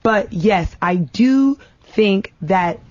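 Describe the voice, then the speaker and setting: A woman's voice: mid-range voice, animated, drawn-out words, lo-fi sound, very noisy. A woman guest in a casual panel debate, choosing her words carefully and hedging as she goes. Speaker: talk show guest.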